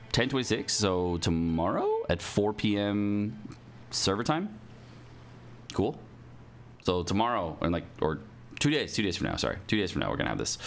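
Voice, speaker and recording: Young male streamer, lower register, informal and sweary, casual commentary while reading aloud. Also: a bit bright. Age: young adult. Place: indoor room at a computer, livestream.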